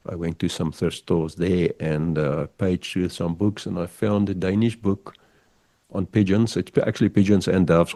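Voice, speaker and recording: An older man whose first language is Afrikaans, speaking as a podcast guest in a measured, halting way with many restarts. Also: deep voice, mellow, no echo. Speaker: guest, pigeon figurine artist. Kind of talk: podcast interview over a call.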